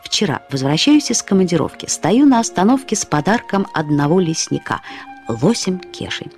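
Актриса изображает ветреную, хвастливую и самоироничную женщину, молодую душой, театрально и игриво, как в радиоспектакле. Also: female voice, lowish voice, big pitch swings, normal speed, a little mellow. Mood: coquettish, playful. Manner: theatrical, boastful, playfully emphatic. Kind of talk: radio drama character acting. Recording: studio radio play with faint music.

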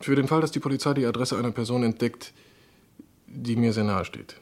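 A man's voice: mid-range voice, a little fast, darkish tone, natural voice. A man plays a medical colleague in a radio crime play. He is courteous but uneasy and hesitant, in an ordinary mid-range male voice. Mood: uneasy, confessing. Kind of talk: German radio crime drama acting.

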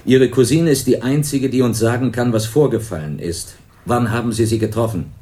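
An actor playing a calm, formal police investigator in a radio crime drama, measured and official. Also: male voice, mid-range voice, natural voice. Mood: pressing. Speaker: police detective.

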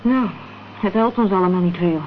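A woman's voice: mid-range voice, moderate pace, lightly acted. A woman acting a concerned, questioning companion in a Dutch radio crime drama. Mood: puzzled, concerned. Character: worried, inquisitive female companion in a crime thriller. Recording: dull, noisy old radio play recording.

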